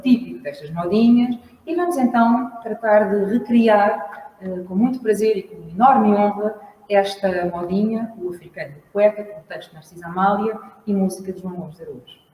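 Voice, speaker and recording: A woman with a Lisbon Portuguese accent introducing a song at a live event, speaking in a measured, explanatory way and pausing to find her words. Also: mid-range voice, muffled recording, slight background noise. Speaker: singer presenting a song. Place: large hall at a library event. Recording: echoey hall, mic at a distance.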